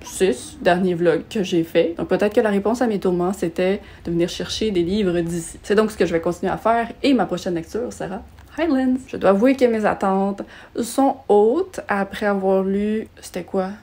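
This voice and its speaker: A woman's voice: mid-range voice, moderate pace, dark tone. A young adult woman with a Quebec French accent, chatting casually as she reviews books for her vlog, self-deprecating and given to small rants. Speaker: book vlogger.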